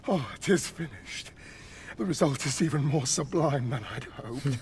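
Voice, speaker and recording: An excitable, wildly expressive fantasy craftsman. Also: a little high, normal speed, slight background noise. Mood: proud. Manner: archaic formal diction, excitable, muttering asides. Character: excitable obsessive male scholar-craftsman in a fantasy realm. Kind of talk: theatrical fantasy video game acting.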